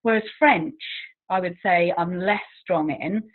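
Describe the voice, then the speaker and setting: A woman's voice: on the low side, measured pace. An English woman, a languages teacher, chatting as an interview guest, talkative and self-deprecating with frequent laughs. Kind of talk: casual interview chat. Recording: tinny phone-like line.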